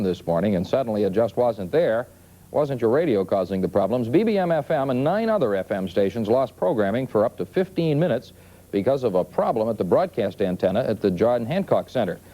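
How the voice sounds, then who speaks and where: A man's voice: fairly low pitch, sing-song, fairly quick, mellow. An American man reading the news as a broadcast anchor.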